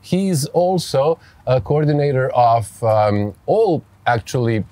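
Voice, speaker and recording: A man with a Serbian accent speaks as a podcast host in a dry, close recording. His voice sits at a middling pitch. He interviews conversationally, in a halting way that circles back over phrases. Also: expressive, warm tone, no echo.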